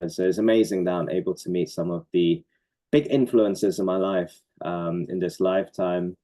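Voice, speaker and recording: Deep, mellow-voiced male poet. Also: moderate pace. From Norway. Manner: reflective, earnest, with soft laughs and fillers. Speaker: poet and community organizer. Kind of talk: conversational interview answers.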